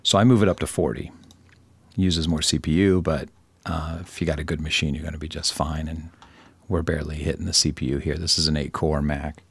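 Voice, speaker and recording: A man with a very deep voice gives an informal software tutorial. There is plenty of gravelly fry at the edges, and the sound is dry. He wanders slightly and corrects himself with dry humour. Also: flat delivery, brisk, no room sound. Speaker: tutorial presenter.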